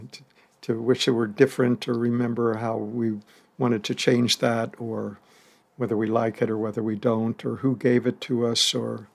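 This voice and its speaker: A man guides a meditation in a calm, unhurried way, leaving long pauses between phrases. His voice has a gravelly edge at a mid pitch, and a soft laugh slips in now and then. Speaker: meditation teacher.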